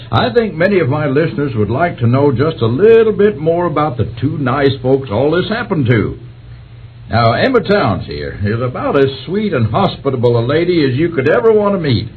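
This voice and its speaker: A man playing a jovial, folksy American radio host with a husky voice, in radio drama style. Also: on the high side, full character voice. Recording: thin phone-like radio sound.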